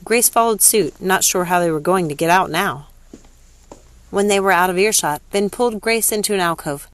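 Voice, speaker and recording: A woman reads fiction aloud as the narrator. Her voice is on the low side and the sound is dry and close, in an audiobook manner. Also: normal speed, no room sound. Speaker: narrator, story reader. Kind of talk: audiobook-style scripted narration.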